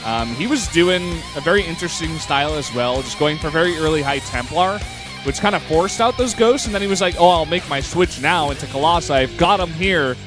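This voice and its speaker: A man's voice: a little high, expressive, brisk. A young man giving color commentary on a strategy game, laid-back and analytical, chuckling often. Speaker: esports co-caster. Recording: commentary over loud game music.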